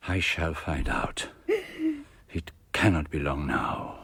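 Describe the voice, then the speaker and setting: A man's voice: a bass voice, huge pitch swings, takes it slowly, a bit bright, husky, no echo, heavily acted. A man acting in a radio drama as a courteous, formal detective who speaks politely and precisely. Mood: probing. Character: courteous, formal, probing male private detective.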